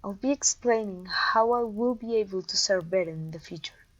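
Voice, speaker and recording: A woman's voice: mid-range voice, moderate pace, muffled recording, lightly acted. Woman playing a haughty, domineering evil queen. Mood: commanding. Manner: imperious, scornful, theatrical, with sighs and disgusted groans. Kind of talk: scripted roleplay audio, speaking to a servant.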